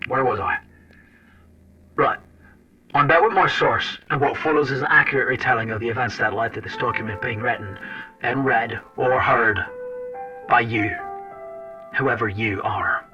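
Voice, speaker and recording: A man's voice: mid-range voice, normal speed, pauses often, lightly acted. Male actor, wry secret agent, foul-mouthed, conversational audio drama narration, distant muffled sound, music behind. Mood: brusque, confiding. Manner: sardonic, conversational, addresses the listener directly. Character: wry, foul-mouthed intelligence agent recounting a confidential journal. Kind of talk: first-person audio drama narration and dialogue. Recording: dull lo-fi old-radio sound under music.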